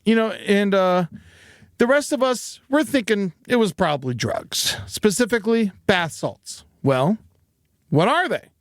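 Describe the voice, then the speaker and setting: A man with a notably high voice hosts a comedy podcast. He reads prepared narration and drops in wry, darkly funny asides. The sound is dry and close to the mic. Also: animated, measured pace, no echo. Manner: wry, dark-humored asides. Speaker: podcast host. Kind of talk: comedic scripted narration with banter. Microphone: close studio podcast mic.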